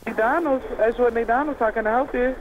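A woman working at a fast-food restaurant, taking a customer service call by phone. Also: fairly high pitch. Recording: muffled noisy phone line.

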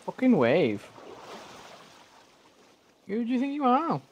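A man's voice: very high voice, wildly swooping pitch, takes it slowly, lo-fi sound, a little hiss or hum. A young man who speaks English as a second language, giving casual let's-play commentary, rambling, correcting himself and swearing at the game. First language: not English. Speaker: gameplay streamer.

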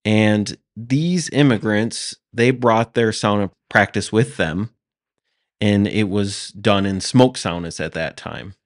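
A man hosting a podcast, calmly explaining history in a steady, matter-of-fact way. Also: on the low side, slow, dry recording, clean studio sound. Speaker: podcast host presenting history. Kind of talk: casual explanatory talk over maps.

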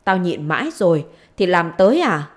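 A stern middle-aged husband from a village tale, voiced by a woman narrator. He scolds angrily and threatens, with animated, swinging intonation. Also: on the low side, normal speed. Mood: furious. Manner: gruff-worded scolding and threats.

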